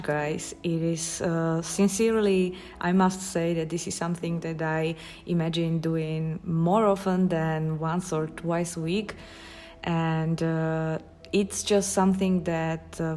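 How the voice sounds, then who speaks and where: Slow, low-voiced Slovak woman. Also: a little mellow. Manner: halting, thoughtful, with frequent pauses. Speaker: watercolor artist and vlogger. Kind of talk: casual talk while painting.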